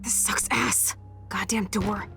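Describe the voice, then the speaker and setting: A woman's voice: medium pitch, fairly even intonation, slow, gravelly, sharp tone, exaggerated voice. A young American woman playing a brash, rebellious, impulsive punk teenage girl in a video game, cocky and slangy. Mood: frustrated. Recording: music behind the voice.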